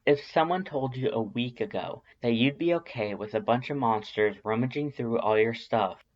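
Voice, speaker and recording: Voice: medium pitch, muffled recording. A narrator reading a story aloud in a scripted audiobook style.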